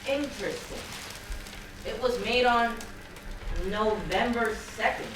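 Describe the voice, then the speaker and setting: A woman's voice: fairly high pitch, takes it slowly. A woman playing a restless worker who questions the drudgery, with theatrical, probing delivery in an amateur stage play. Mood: curious. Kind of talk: amateur stage one-act play, absurdist dialogue. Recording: very echoey hall, distant mic, faint noise.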